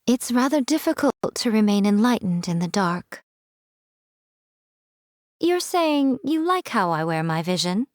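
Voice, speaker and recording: A woman's voice: mid-range voice, big pitch swings, measured pace, long pauses, no room sound, clean studio sound. A woman voicing a sly, ageless fox spirit shrine maiden in a game, with a teasing, languid, theatrical and self-assured manner. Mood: playful teasing. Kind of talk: scripted game character voice lines.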